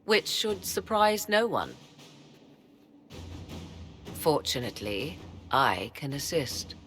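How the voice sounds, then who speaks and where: An actress playing a proud, aloof sorceress in a video game, speaking in archaic, formal, measured phrases. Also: female voice, sits low, big pitch swings, a bit bright, soft background music, exaggerated voice. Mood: grave, knowing. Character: proud, aloof, cryptic sorceress and devoted mother. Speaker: sorceress advisor. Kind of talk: dramatic video game acting.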